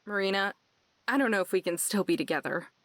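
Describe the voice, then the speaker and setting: A woman's voice: mid-range voice, quick pace, close to an everyday voice. Woman acting a wry, easygoing young woman. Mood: teasing. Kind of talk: visual novel voice acting.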